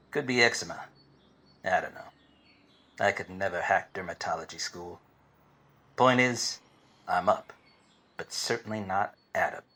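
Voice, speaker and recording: A man playing a wisecracking, weary, obtuse private detective in a deadpan parody of 1940s radio drama. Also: on the low side. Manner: deadpan, hardboiled monologue. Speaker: lead actor and narrator. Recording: faint background noise.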